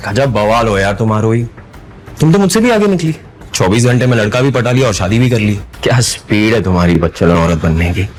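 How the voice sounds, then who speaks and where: A man's voice: fairly low pitch, expressive, a little fast, soft background music, lightly acted. Man acting an arrogant, spiteful young ex. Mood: sneering. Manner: sneering, confrontational. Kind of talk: audio drama acting.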